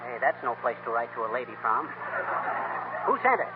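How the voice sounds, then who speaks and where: An actor playing a brash, slangy middle-aged wisecracking husband in a folksy 1930s radio comedy. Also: male voice, mid-range voice, sing-song, brisk, natural voice. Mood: brash, curious. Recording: crackly muffled 1930s radio broadcast.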